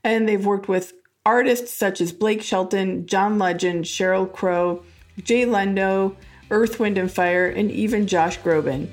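A woman's voice: medium pitch, a little slow. American woman hosting a podcast interview. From Buffalo, New York, United States. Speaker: podcast host. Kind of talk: podcast intro and interview chat.

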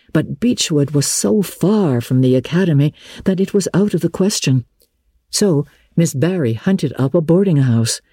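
A woman narrating classic fiction as a dramatic audiobook, with an expressive, measured, literary delivery. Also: deep voice, slightly rough, no echo, studio quality.

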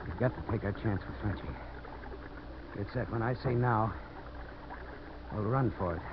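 An actor playing a tough, terse adventurer who gives orders in a 1950s radio drama. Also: male voice, lowish voice, normal speed, natural voice. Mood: urgent. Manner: terse, commanding. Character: tough, commanding male adventurer leading a dangerous job. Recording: muffled, noisy old radio broadcast recording.

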